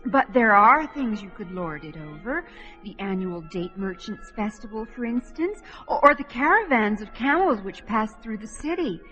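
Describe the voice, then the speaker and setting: A vain desert queen who is flirtatious and prim. Her voice is mid-pitched. It is a dull lo-fi radio play with music underneath. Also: animated, moderate pace, sharp tone. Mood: coquettish. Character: vain, coquettish female queen of a desert city.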